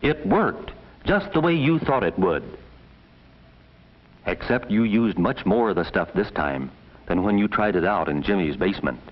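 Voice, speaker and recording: Low male voice, stern narrator, measured reproachful delivery, 1950s classroom film, muffled old soundtrack. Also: expressive. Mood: grave, reproachful. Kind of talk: 1950s educational film narration, second-person address.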